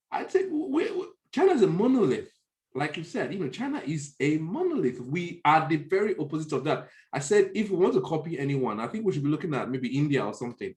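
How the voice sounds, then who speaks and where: A man's voice: on the high side, darkish tone. A man with a Nigerian accent in a conversational discussion, often stopping to search for words and restate his point.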